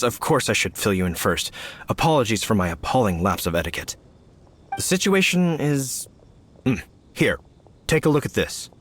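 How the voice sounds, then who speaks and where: An actor playing a courteous, earnest knight officer in a video game, speaking formally and politely. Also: male voice, mid-range voice, fairly bright tone. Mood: polite, apologetic.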